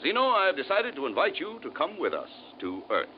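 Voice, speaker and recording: An actor playing a confident, authoritative rocket commander in old radio drama style, brisk and commanding. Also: male voice, a little high, huge pitch swings. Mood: hearty, persuasive. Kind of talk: 1950s radio drama acting. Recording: dull, noisy vintage radio recording.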